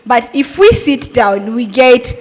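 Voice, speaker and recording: A woman's voice: on the high side, big pitch swings, slow. A young adult woman, a Montessori teacher, giving an earnest, persuasive talk at a summit and asking rhetorical questions.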